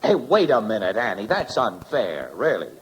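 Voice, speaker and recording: An actor playing a stubborn, loyal American newspaper crime photographer with brisk, slangy talk, in 1940s radio drama style. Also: male voice, fairly low pitch, big pitch swings. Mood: defensive. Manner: brisk, slangy, plain-spoken.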